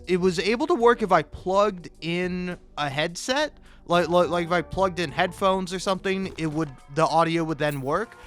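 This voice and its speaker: A man with a slightly high voice talks like a YouTuber rambling over a game. He trips over and repeats his words, swears freely and puts himself down, with faint music underneath. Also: a bit bright. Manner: stammering, repeats words and restarts often; profane, self-deprecating. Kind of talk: casual rambling gaming commentary.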